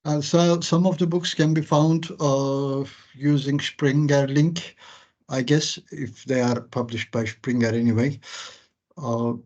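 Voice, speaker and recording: A man moderating questions after an academic seminar on a video call, polite and deliberate. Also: medium pitch, fairly even intonation, darkish tone. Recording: muffled video call audio.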